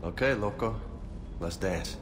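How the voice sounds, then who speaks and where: An actor playing a brash, guarded young space marine in a video game, with a casual, slangy swagger. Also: male voice, fairly low pitch, measured pace, noisy background, lightly acted. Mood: cocky, teasing.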